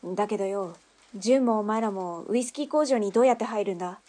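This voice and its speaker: A woman playing a tough, brash gang boss in a Japanese audio drama, with rough, cocky, masculine wording. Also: medium pitch, fast talker, dark tone, natural voice. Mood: cocky, businesslike.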